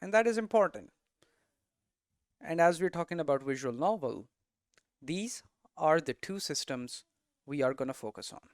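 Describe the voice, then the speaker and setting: A man's voice: a little high, expressive, moderate pace, pauses often, no echo, clean studio sound. A man giving a casual, unscripted tutorial as a game developer, thinking aloud and often checking in with rhetorical questions. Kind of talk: casual, unscripted explanatory talk.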